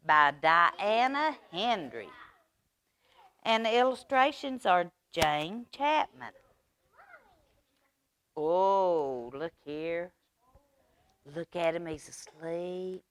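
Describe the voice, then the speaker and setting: A woman reading aloud for children's storytime in an expressive, drawn-out manner. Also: fairly low pitch.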